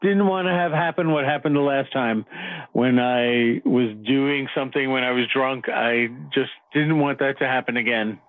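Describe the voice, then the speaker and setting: A man's voice: mid-range voice, normal speed, no room sound. A man talking casually on the telephone in a hesitant, sheepish manner. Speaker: person called on radio show. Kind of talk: casual phone conversation. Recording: tinny, dry phone line.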